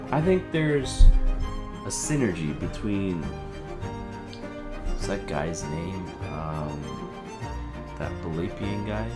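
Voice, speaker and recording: A man playing an agitated, conspiracy-minded husband in an audio drama, rambling, halting and searching for words. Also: low voice, full character voice. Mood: pondering, distracted. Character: agitated conspiracy-minded husband piecing together a dystopian plot. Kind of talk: audio drama, conversational dialogue.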